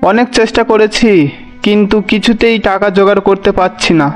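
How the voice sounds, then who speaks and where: A man's voice: high-pitched, level tone, fairly quick, faint music behind, close to an everyday voice. A man voicing a poor, worried, forgiving village father in a cartoon, with a mild, resigned way of talking. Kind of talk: Bengali cartoon voice acting.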